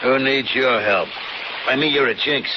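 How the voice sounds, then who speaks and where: An actor playing a cold, suspicious fugitive killer, talking gruff and threatening in a radio drama. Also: male voice, medium pitch, normal speed, natural voice. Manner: gruff, threatening tough-guy talk. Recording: muffled, noisy old radio broadcast.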